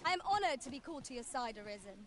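A woman's voice: high voice, very animated, fast. A woman playing a loyal, earnest adventurer companion in a fantasy video game, speaking in formal, archaic phrases.

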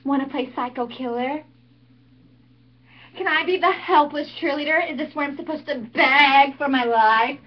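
A snobbish, haughty teen cheerleader, played by a woman in a home-made monologue, mocking everything with bratty sarcasm. The voice sits high. The recording is dull and lo-fi. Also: moderate pace, natural voice. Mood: sarcastic. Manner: sarcastic, bratty put-on theatrics. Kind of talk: amateur monologue performance.

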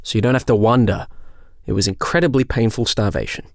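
A man's voice: on the low side, fairly quick, gravelly, no echo. A man acting a bitter male angel in an audio drama. He is curt and blunt, delivering hard news without cushioning it, in a dry, close recording. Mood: solemn, blunt.

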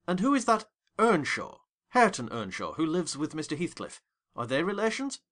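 A man voicing a curious, polite gentleman tenant in a storybook reading. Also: fairly high pitch, wildly swooping pitch, moderate pace, pitched above the performer's own voice. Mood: inquisitive. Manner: polite, inquisitive.

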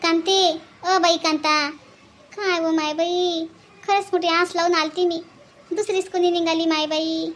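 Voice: very high-pitched, level tone, measured pace, a bit bright. A performer voicing a grieving old village mother in a cartoon, plaintive and repetitive, with a rural Vidarbha Marathi accent. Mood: resigned disappointment. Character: grieving old village mother longing for her lost daughter. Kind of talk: melodramatic cartoon dialogue.

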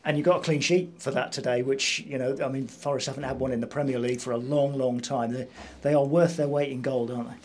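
A man's voice: medium pitch, fairly even intonation, quick pace, hardly any pauses, a little mellow, a little hiss or hum. A man. He works as a sports interviewer, putting questions in a chatty, conversational way and often ending on a tag question. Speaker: club interviewer.

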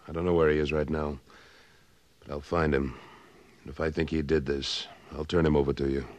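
An extremely deep bass voice marks this frontier cattleman. He is terse, controlled and sure of himself, a tough American hero straight out of an old-time radio western. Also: flat delivery, a little fast, no echo. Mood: cool, determined.

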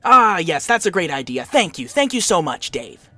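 An actor plays a sarcastic, impatient young male troll in a cartoon dub. The pitch leaps around as he snaps in exasperation, and the sound is close and dry. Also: sing-song, normal speed, sharp tone, no room sound, soft background music. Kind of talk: animated comic dub voice acting.

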